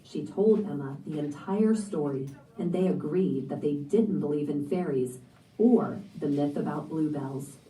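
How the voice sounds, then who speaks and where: Very dark, mellow woman reading a story. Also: deep voice, a little slow. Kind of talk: scripted children's story narration. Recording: echoey room, picked up from a distance.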